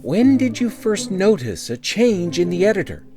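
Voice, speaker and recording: A woman's voice: medium pitch, very animated, moderate pace, music in the background. A woman playing a businesslike, probing investigator questioning staff in a comic sci-fi audio drama.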